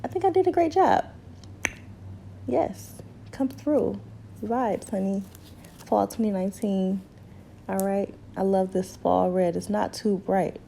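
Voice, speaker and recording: A young woman in her twenties, hoarse from a cold, chatting casually through a hair tutorial and calling her viewers ladies and honey. She is recorded in a small bedroom on the camera's own mic. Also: medium pitch, slow pace, long pauses, dark tone, slight background noise. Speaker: beauty vlogger. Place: small bedroom at home, indoors. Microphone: camera mic, seated close in front.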